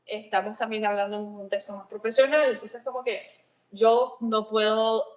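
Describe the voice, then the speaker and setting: A woman's voice: mid-range voice, steady tone, normal speed, a little mellow, rough recording. A woman with a Nicaraguan Spanish accent, explaining things conversationally in a semi-professional register as a therapist and podcast co-host.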